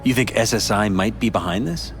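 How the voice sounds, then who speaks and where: An actor playing a polished but troubled middle-aged politician in a video game, speaking in a measured, courteous, earnest way. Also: male voice, lowish voice, natural voice. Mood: concerned. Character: polished, troubled male politician confiding in an investigator. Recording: music behind.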